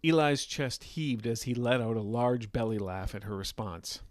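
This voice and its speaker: A man hosting a fiction podcast, reading scripted narration in a measured, dramatic storytelling style. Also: medium pitch, no room sound.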